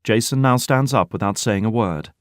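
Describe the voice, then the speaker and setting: A man's voice: on the low side, normal speed, no echo, studio quality. A man narrating an audio drama in scripted, present-tense storytelling style. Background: background music.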